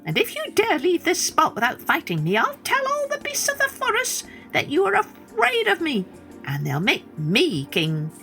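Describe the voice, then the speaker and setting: A woman's voice: very high-pitched, huge pitch swings, normal speed, vocal fry, pushed and bright, soft background music, pitched above the performer's own voice, full character voice. A woman voicing a tiny, defiant gnat, cheeky and indignant, in a storybook tale.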